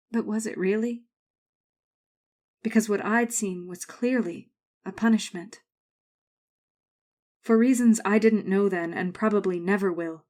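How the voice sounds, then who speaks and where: A woman's voice: medium pitch, a little mellow, lightly acted. A woman playing a haunted adult survivor, narrating a scripted horror drama in a grave, suspenseful way. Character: haunted grown woman narrating her frightening schooldays. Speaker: narrator and main character.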